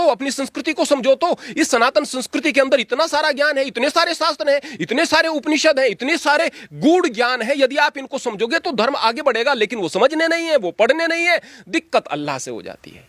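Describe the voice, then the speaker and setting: High-voiced preachy holy man. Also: fairly bright tone, no room sound. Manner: preachy, emphatic, plain-spoken. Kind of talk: earnest spoken argument.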